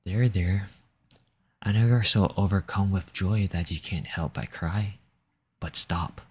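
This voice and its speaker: A man playing a possessive, seductive demon in an intimate audio roleplay, speaking formally in a breathy, coaxing, menacing way. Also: low-pitched, a little slow. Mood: commanding, smooth. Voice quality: husky, breathy, often dropping into whisper. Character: possessive seductive male demon, silver-tongued and domineering.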